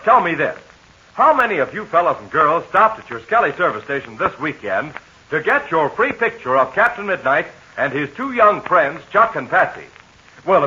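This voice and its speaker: Man, 1940s radio announcer, high lively voice, bright and husky, eager pitch, muffled old transcription. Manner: eager, upbeat sales pitch to children.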